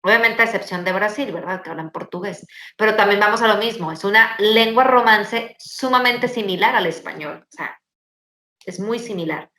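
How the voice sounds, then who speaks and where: A woman's voice: mid-range voice, moderate pace, roomy sound. A woman, hosting and telling stories in a casual chat with many fillers. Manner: animated storytelling, many fillers. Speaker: podcast host.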